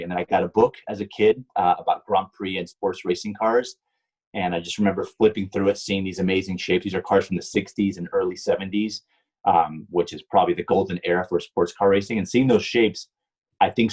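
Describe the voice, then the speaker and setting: A middle-aged man from Puerto Rico tells long stories with a very deep, husky voice. He rambles, restarts his sentences and fills pauses with ums as he answers questions. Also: a little mellow, poor audio. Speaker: guest, stunt driver and car designer. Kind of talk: casual interview answers.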